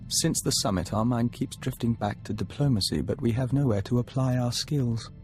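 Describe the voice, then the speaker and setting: An actor playing a serene, earnest diplomat of an insect hive mind in a video game, speaking formally and solemnly. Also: male voice, mid-range voice, moderate pace, a little mellow, soft background music, lightly acted. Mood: hopeful, earnest.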